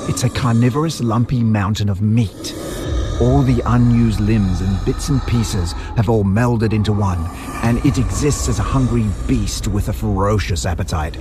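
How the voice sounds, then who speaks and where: An actor playing a sinister butcher villain in an audio drama, theatrical, mock-apologetic and taunting. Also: male voice, on the low side, moderate pace, lightly acted. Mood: menacing relish. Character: sinister, politely menacing butcher villain who feeds victims to monsters. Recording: music under the voice.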